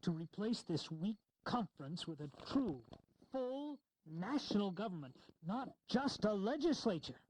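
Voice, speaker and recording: An actor playing an insistent, eloquent delegate of the founding era in a historical audio drama, formal and emphatic. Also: male voice, high voice, very animated, unhurried, a little mellow, slight background noise, poor audio. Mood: resolute.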